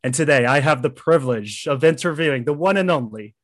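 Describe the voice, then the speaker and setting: A man's voice: on the high side, animated, a little fast, no room sound. Male podcast host, casual and upbeat, dry close mic. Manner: upbeat, friendly, fillers. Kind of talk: podcast interview.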